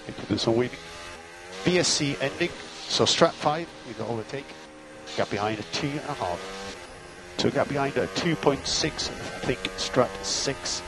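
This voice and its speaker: A man's voice: medium pitch, background noise. A man working as a race engineer, giving clipped, matter-of-fact instructions and gap updates over team radio, often repeating key points.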